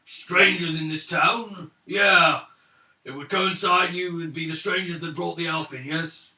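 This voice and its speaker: A weary village warrior acted out by a man at a gaming table, wary and formal in his questioning. The voice is sharp and forceful, a little raised, heard from off the mic in a roomy space with faint noise. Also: on the high side, fairly even intonation, unhurried, recorded from a distance, pitched above the performer's own voice, heavily acted.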